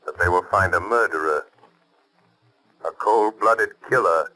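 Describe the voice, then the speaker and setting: A man acting an invisible, coolly menacing avenger in a 1930s radio drama, speaking deliberately and mockingly through a filter. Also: sits low, pushed and bright, full character voice, poor audio. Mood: grave, accusing. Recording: old 1930s radio broadcast.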